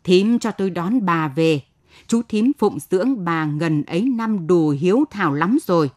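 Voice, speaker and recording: A woman's voice: on the low side, animated, a little slow, darkish tone. A woman voicing a hot-tempered, scornful, bossy village man in a story. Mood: commanding.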